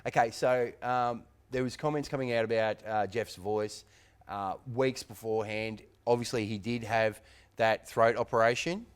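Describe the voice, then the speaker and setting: A man's voice: mid-range voice, fairly even intonation, moderate pace, smooth. A man hosting a boxing show, leading an upbeat casual rundown of fight week. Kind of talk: casual sports chat.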